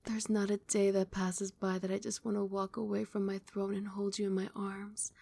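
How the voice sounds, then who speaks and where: ASMR casting: a young woman as a tender, possessive vampire queen, soft soothing whisper, close mic. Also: medium pitch, completely flat delivery, moderate pace, vocal fry. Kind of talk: ASMR roleplay.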